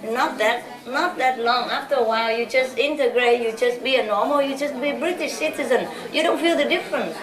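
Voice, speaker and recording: A woman's voice: on the high side, fairly quick, hardly any pauses. A woman from Vietnam, giving an informal talk to followers as a spiritual teacher, chatty and laughing often. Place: large hall with a live audience. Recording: echoey hall, distant mic, audience noise.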